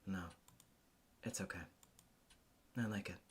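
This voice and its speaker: A cool, somber young woman from a visual novel, played by a man, talks low and huskily. She is terse and guarded, giving short, dry, reserved replies. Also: fairly even intonation, slow pace, slightly rough, heavily acted. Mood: quiet, guarded. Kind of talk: acted visual novel readthrough.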